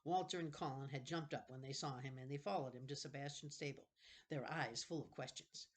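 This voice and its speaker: A woman's voice: extremely low pitch, a little fast. A woman reading a children's novel aloud expressively, with casual asides and the odd self-correction. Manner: expressive, stops to correct misreadings.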